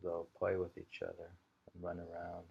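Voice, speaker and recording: A man reading short poems in an unhurried, halting way, repeating lines slowly and musing on them informally in a dull, lo-fi home recording. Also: sits low, monotone, gravelly, slightly rough. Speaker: reader of a poetry anthology.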